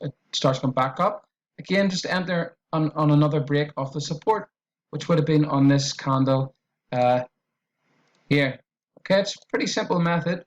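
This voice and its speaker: A man's voice: a little high, highs cut off. A man with an Irish accent talking through a trading tutorial on screen, in a loose, repetitive way with fillers and restarts. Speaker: trading educator.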